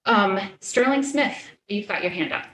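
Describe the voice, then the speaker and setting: A woman's voice: mid-range voice, animated, moderate pace, a bit bright, echoey room. Earnest woman running a public forum. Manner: earnest, with many fillers and restarts. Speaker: school committee member chairing a public forum. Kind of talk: public meeting, answering questions.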